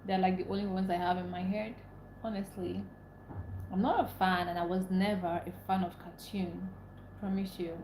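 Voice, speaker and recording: A woman's voice: fairly low pitch, little pitch movement, moderate pace, mellow, a little hiss or hum. A young Nigerian woman, a native Igbo speaker, hosting a casual livestream, chatting with viewers, repeating herself and laughing often. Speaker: livestream host. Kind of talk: casual livestream chat reading comments. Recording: echoey room, faint ambience.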